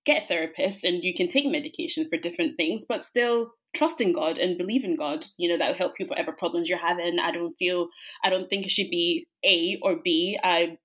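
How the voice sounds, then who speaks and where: A woman's voice: fairly low pitch, brisk, fairly bright tone, low-quality recording. A woman in her twenties from Scotland, born in Zimbabwe, giving reflective, conversational answers as an interview guest. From born in Zimbabwe, grew up in Scotland. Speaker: podcast guest, psychology and counselling graduate.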